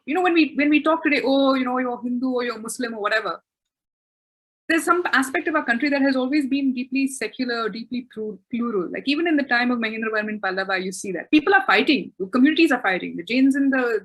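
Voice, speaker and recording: A woman's voice: on the high side, fairly bright tone, low-quality recording. A woman author answering questions in a webinar, thoughtful and self-correcting, with frequent restarts.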